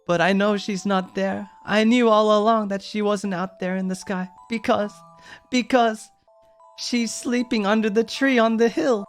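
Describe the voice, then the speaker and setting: A soft-spoken young mother of star children, as a man puts on a lifted voice. Her voice climbs high and strained when she cries for home, with music playing gently underneath. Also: steady tone, a little slow, smooth. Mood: sobbing. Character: lonely young woman raising star children, tender. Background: background music.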